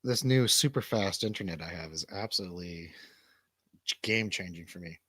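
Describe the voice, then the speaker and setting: A man's voice: low-pitched, fairly quick, sharp tone, husky, no room sound. A middle-aged man hosting a casual live stream in informal, sometimes sweary chat. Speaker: show host.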